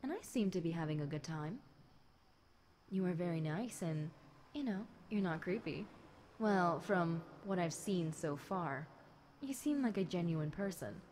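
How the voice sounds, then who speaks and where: A woman plays a centuries-old demon girl with a slightly low voice and an unhurried, slow delivery. She is chatty, smug and teasing, speaking casually to the listener as in an audio roleplay, over clearly audible background noise. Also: expressive, darkish tone. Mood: relaxed, friendly. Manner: casual, teasing, conversational with light chuckles.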